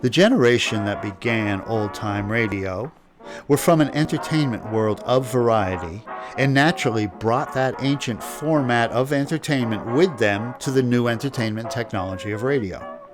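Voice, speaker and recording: A man's voice: medium pitch, normal speed, music in the background. A man hosting and narrating from a prepared script. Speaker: host.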